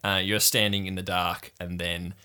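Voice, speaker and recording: Male game master for improvised tabletop narration, deeper than average, crisp-edged and forceful. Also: flat delivery, normal speed.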